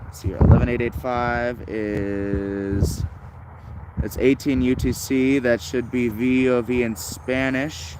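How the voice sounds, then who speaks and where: A man's voice: mid-range voice, flat delivery, takes it slowly, very noisy. A man giving casual, unscripted hobbyist commentary as a livestream host, rambling and thinking aloud. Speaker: shortwave radio livestream host.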